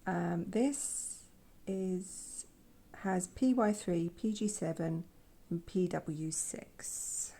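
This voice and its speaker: A woman talks slowly through a painting session, her voice croaky from a sore throat and gravelly at the edges. Her tone is mellow and dark, and she drops into quiet half-whispers as she works. The effect is intimate and unhurried. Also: on the low side. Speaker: art channel host. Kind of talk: soft-spoken painting tutorial.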